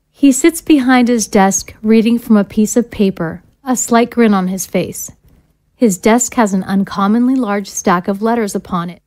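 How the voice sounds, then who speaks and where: Woman narrator with a mid-pitched voice. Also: warm tone, no room sound. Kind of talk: scripted narration of stage directions.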